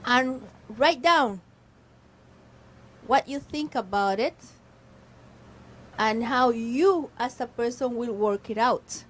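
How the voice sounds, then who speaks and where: Higher-voiced teacherly woman. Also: slow, long pauses, low-quality recording. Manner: instructive, teacherly. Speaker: radio panelist, retired teacher. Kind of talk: casual radio discussion.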